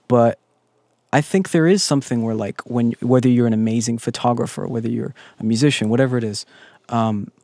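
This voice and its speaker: A man's voice: mid-range voice, a little mellow, no room sound, clean studio sound. A man hosting an interview, asking earnest and enthusiastic questions in casual chat. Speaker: podcast host.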